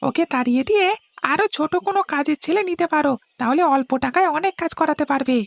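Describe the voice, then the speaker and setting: A woman's voice: high voice, quick pace, creaky, no echo. A woman voicing a spoiled, scheming young schoolboy in a cartoon, whiny and smug like a tattling mother's pet. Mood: sly, scheming. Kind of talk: Bengali cartoon voice acting.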